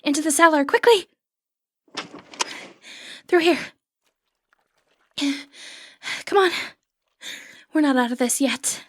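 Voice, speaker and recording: A woman playing a sly, confident, flirty thief in a fantasy roleplay, playful and cheeky with breathy asides. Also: sits high, animated, unhurried, dry recording. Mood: panicked, urgent. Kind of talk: scripted fantasy ASMR roleplay, addressing the listener.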